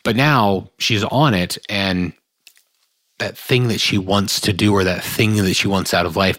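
A man's voice: lowish voice, fairly bright tone, no room sound, clean studio sound. A man hosting a podcast, reading an earnest, reflective introduction to the show and its guest. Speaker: podcast host.

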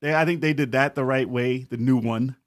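A man bantering casually on a podcast panel in an animated, opinionated way. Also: medium pitch, brisk.

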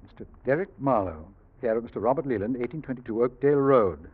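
An actor playing a suave, scheming Englishman in an old radio drama, with a husky voice and a smooth, theatrical, insinuating manner. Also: medium pitch, lively intonation, quick pace, slight background noise, natural voice. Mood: uneasy. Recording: dull, muffled old radio recording.

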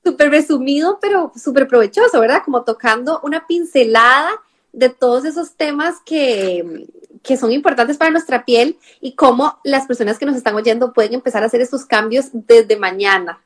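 A woman with a Costa Rican accent hosts a relaxed conversation over a remote live call. She speaks in a slightly high voice, chatty and warm in rhythm, with frequent fillers and tag questions. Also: moderate pace. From Costa Rica. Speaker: podcast host. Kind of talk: casual conversational interview.

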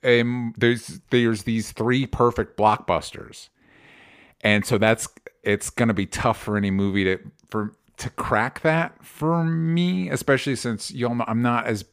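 A man's voice: mid-range voice, a little slow, smooth. A man with a mid-range voice talks movies as a show co-host. His delivery is casual and informal, with free swearing and phrases he tends to repeat.

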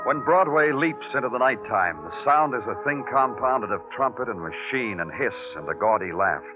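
An American actor playing a world-weary, poetic police detective who narrates in the deliberate, hardboiled style of 1950s radio crime drama. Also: male voice, medium pitch, animated, natural voice. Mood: brooding. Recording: narrow, tinny vintage radio recording, music.

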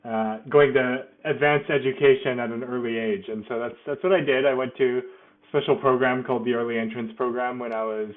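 A man's voice: mid-range voice, steady tone, fairly quick, phone-quality sound. A middle-aged man speaking as an interview guest, self-deprecating and often restarting his sentences. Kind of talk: casual interview.

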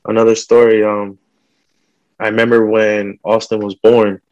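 A man's voice: on the low side, flat delivery, normal speed, dry recording, dull audio. A man in casual conversation, talking informally with street slang.

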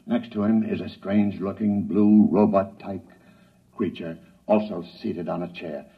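A grave live radio reporter, deep and husky, announcing formally and deliberately. The vintage radio drama sound is muffled and a little distant. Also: male voice, steady tone, heavily acted. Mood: grave, reporting. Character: serious male radio newsman reporting live on the scene. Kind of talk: 1950s radio news bulletin acting.

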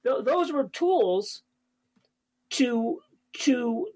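A male stand-up comedian with a high voice, chatting casually as a podcast guest, rambling with many fillers and restarts. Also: lively intonation, very slow, darkish tone.

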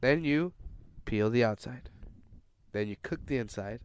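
A man's voice: mid-range voice, unhurried, smooth. A young man co-hosting a casual podcast, playful and teasing, mixing in Spanish words and sounding stuffy from a cold. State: stuffy, sick. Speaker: podcast co-host. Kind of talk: casual chat.

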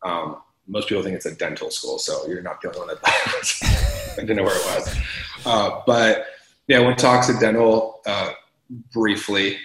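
A young man from Los Angeles talks in a very deep voice with a bright, forceful edge. He chats casually as an interview guest, laughing often and leaning on fillers. The room sounds echoey around him. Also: moderate pace. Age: young adult. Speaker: entrepreneur guest.

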